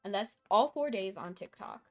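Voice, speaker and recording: A woman streaming a video game, chatting casually and thinking out loud as she plays, with a chuckle here and there. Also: mid-range voice, lively intonation, normal speed. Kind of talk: casual live-stream chat while gaming. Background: faint game music.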